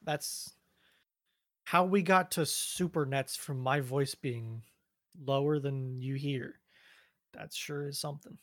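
A man's voice: medium pitch, slow pace, long pauses, dry recording, studio quality. A man co-hosting a podcast, chatting casually, rambling and trailing off mid-sentence. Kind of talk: casual chat. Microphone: studio mic.

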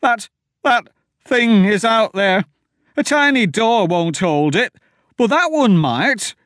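Man voicing a panicky farmer, shrill high outbursts, frantic, audiobook. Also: animated, slow, fairly bright tone, no room sound, studio quality, full character voice. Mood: panicked.

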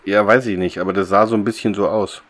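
A man's voice: fairly low pitch, fairly even intonation, fast, fairly bright tone. A man talking casually as a podcast host, discussing a story with others. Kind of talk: casual discussion of an audio drama episode.

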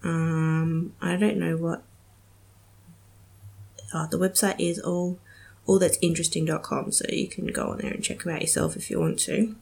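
A woman's voice: fairly low pitch, fairly even intonation, moderate pace, creaky, long pauses, a little mellow, slightly rough. A young woman hosting a casual solo podcast, chatty and giggly with many ums, sounding slightly nasal from a cold. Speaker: podcast host.

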